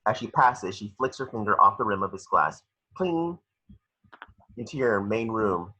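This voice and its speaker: A man narrating stage directions for a script table read, in measured, dramatic short phrases. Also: on the low side, animated, bright, forceful tone.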